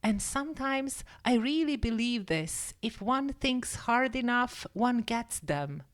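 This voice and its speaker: A woman's voice: fairly high pitch, animated, takes it slowly. A woman reader voicing an unhappy, yearning woman from a storybook in an earnest, plaintive manner.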